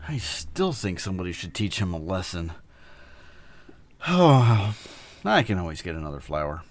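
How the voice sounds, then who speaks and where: An actor playing an earnest, principled young scientist hero with melodramatic delivery in an audio drama. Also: male voice, sits low, big pitch swings, moderate pace, slight background noise. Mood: mild, distracted.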